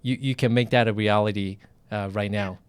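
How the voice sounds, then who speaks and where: A man's voice: on the low side, steady tone, normal speed, clear, resonant tone, no echo. A man hosting a casual live video interview, talking enthusiastically with many restarts and fillers. English is not his first language.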